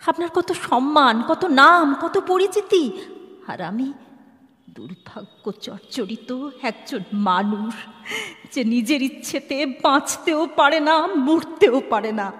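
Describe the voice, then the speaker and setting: Betrayed wife, despairing and bitter, high plaintive voice from an actress, audio-drama style in a reverberant hall. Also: female voice, takes it slowly, fairly bright tone. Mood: resentful, anguished. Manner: plaintive, dramatic, rhetorical questioning. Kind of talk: Bengali audio drama acting. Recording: long hall reverb, music underneath.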